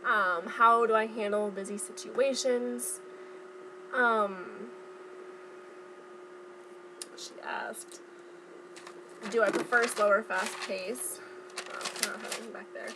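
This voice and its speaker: A young woman talks in a casual vlog style. Her voice sits at an average pitch for a woman. She speaks informally, pausing and picking her way as she recalls details, over noticeable background noise. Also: expressive. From Southern California. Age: young adult. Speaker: vlogger. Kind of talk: casual chat vlog, recounting from memory.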